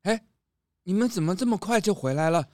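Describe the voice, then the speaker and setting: A man's voice: high-pitched, lively intonation, fairly quick, noisy background. A man with a Taiwanese accent playing a kindly, eager-to-please butler in a children's audio drama, courteous and genial.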